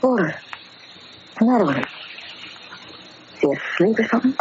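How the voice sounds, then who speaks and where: Actress as melodramatic gangster's sweetheart, swooping pitch. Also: female voice, lively intonation, moderate pace, a little mellow, lightly acted. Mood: pleading. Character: gangster's returning sweetheart, pleading and distraught. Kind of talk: 1940s radio melodrama acting. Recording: muffled, very noisy old radio recording.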